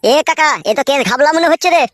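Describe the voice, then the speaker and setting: A man's voice: extremely high pitch, fast, a bit bright, no room sound, clean studio sound, pitched below the performer's own voice. A man playing an easygoing young village nephew and sidekick in a comedy cartoon. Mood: puzzled.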